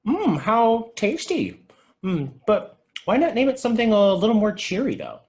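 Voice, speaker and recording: A man playing a cheery, sympathetic video store clerk with expressive reactions in a comedy table read. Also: high voice, normal speed, heavily acted.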